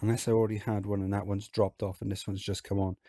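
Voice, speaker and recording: A man with a low, gravelly, husky voice, hoarse and croaky from being unwell. He talks through his game in a relaxed, chatty way, and the sound is dry and close. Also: quick pace, no echo. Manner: relaxed, chatty, explains as he plays. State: hoarse from illness. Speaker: game video host. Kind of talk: casual game commentary.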